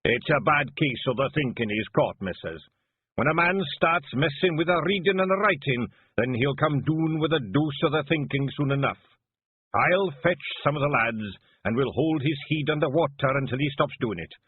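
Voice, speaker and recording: A man's voice: medium pitch, animated, moderate pace, breathy edge, no echo, voice pushed up. A man voicing a big, dim, blunt fairy warrior with a broad Scottish accent and a matter-of-fact delivery. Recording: phone-like narrow band.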